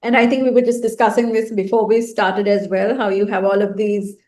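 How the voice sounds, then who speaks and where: A woman's voice: mid-range voice, flat delivery, fairly quick, darkish tone. A woman from Sri Lanka co-hosting a casual podcast, talking eagerly, with her sentences running ahead of her. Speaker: podcast co-host, writer and speaker.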